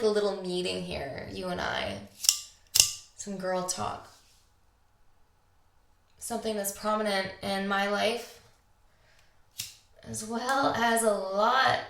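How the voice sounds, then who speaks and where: Woman doing a spiritual podcast solo, bit raspy and creaky, confiding girl-talk style, roomy echo. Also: medium pitch, a bit bright. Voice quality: slightly raspy. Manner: confiding, unscripted, reflective with drawn-out pauses. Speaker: podcast host. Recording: echoey, roomy sound.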